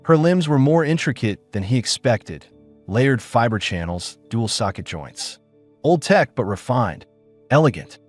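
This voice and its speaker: A man narrating a science fiction audiobook in an expressive, dramatic way. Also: medium pitch, a little slow. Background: faint music.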